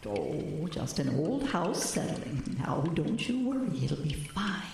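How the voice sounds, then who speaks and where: A woman's voice: low voice, big pitch swings, takes it slowly, vocal fry, a little mellow, slight background noise, full character voice. An actress playing a jittery, stammering woman who talks to herself in a frightened way in an audio drama. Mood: nervous reassurance. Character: jittery, chattering female historical society house enthusiast, alone and frightened. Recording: echoing cellar reverb with music.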